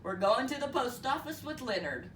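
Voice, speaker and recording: A woman's voice: high voice, sing-song, normal speed, pitched above the performer's own voice. A woman voicing a plain-spoken, thrifty rural father in a storybook, folksy and matter-of-fact. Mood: firm. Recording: roomy, distant mic, noisy.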